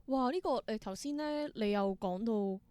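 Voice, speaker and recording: Hong kong cantonese woman chatting about her work, thinks out loud, dry close recording. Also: on the high side, moderate pace, clean tone, dry recording. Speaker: interview guest, independent theatre performer. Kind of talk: casual interview storytelling.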